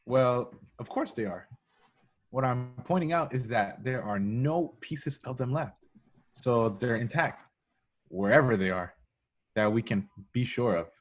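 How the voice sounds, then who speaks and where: A man's voice: medium pitch, animated, unhurried, a little hiss or hum, natural voice. A man playing a wisecracking agent who bluffs as a doctor, hesitant, in an informal script read. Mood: eager.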